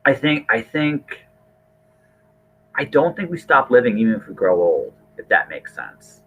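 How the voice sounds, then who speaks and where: A man's voice: mid-range voice, big pitch swings, measured pace, fairly bright tone, slight background noise. A middle-aged man hosting a casual podcast conversation, earnest and rambling, often repeating his words. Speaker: podcast host.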